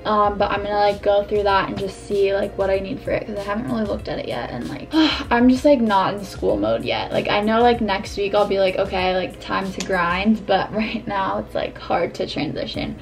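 A woman's voice: medium pitch, normal speed, few pauses, faint music behind. A woman in her twenties vlogging casually from her bedroom, chatty and full of "like" fillers, her voice a bit worn. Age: 20s. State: slightly losing her voice. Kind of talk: casual vlog chat to camera. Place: bedroom, indoors.

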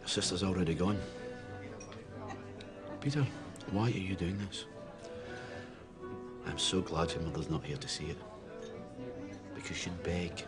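A man's voice: sits low, animated, moderate pace, pauses often, over music. An actor playing a stern, grieving middle-aged British father in a naturalistic drama, scolding and pleading. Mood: bitter. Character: stern, grieving, exasperated widowed father of teenagers. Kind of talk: naturalistic screen drama acting.

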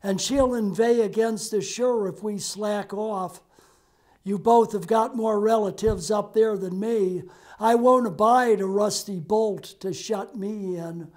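A man reading the part of a grumbling, wary man who distrusts his relatives, in slow, measured verse. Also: high-pitched, fairly even intonation, a little mellow.

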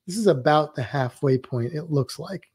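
A man's voice: fairly high pitch, moderate pace, a little mellow, breathy edge, no echo, clean studio sound. A man teaching drawing on a live stream, rambling loosely and thinking aloud while he sketches.

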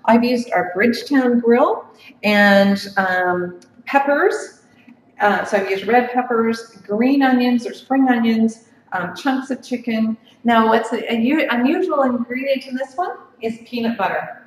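Chatty woman demoing slow cooker recipes. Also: a little high, slight background noise. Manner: chatty, many ums and restarts. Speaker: cooking demonstrator. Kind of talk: live cooking demonstration. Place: home office kitchen. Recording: echoey room, from far off.

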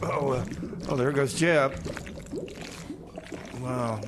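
A man's voice: mid-range voice, drawn-out words, background noise. A man playing a hapless mechanic and co-host in a comedy radio play, with a hesitant, casual manner. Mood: easygoing announcing. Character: hapless time machine mechanic working at a radio station.

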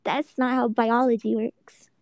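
A woman's voice: fairly high pitch, level tone, unhurried, darkish tone, dry recording, lo-fi sound. A woman on a voice-chat call, blunt and skeptical. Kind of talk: casual voice call.

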